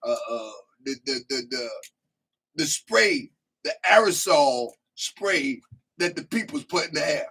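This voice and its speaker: A man hosting a casual live call-in show, hoarse and losing his voice, rambling with stammering restarts. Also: fairly high pitch, animated, unhurried, bright, forceful tone. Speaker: host.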